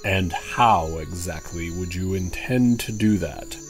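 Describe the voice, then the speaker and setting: An actor playing a suspicious, guarded druid who tends a forest, curt and formal in an audio drama. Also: male voice, deep voice, takes it slowly, soft background music. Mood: distrustful.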